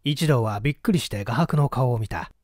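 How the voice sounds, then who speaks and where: A man's voice: mid-range voice, lively intonation, a little fast, a little mellow, no echo, studio quality. A man reading a mystery novel aloud as dramatic literary narration. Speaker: audiobook reader.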